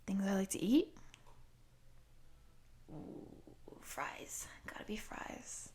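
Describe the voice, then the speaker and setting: Woman voicing a goofy, chatty, creaky-voiced young slime girl. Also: mid-range voice, unhurried, a little hiss or hum. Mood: enthusiastic, carefree. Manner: casual slangy chatter with giggles. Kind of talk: roleplay audio aimed at the listener.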